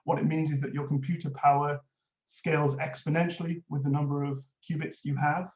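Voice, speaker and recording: Welsh man, conference presenter, even flat delivery, mellow tone, echoey dull recording. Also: a little high, fairly quick. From Wales. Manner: explanatory, frequent "uh" fillers. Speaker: conference speaker, innovation lead. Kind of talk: presentation talk with slides. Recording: roomy, dull lo-fi audio.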